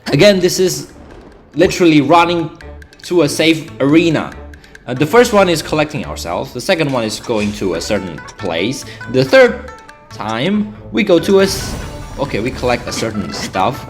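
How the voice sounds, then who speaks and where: A man's voice: fairly high pitch, sing-song, moderate pace. A young man, chatting casually through gameplay in a rambling way, full of fillers and restarts. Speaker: gaming channel host. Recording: game music behind the voice.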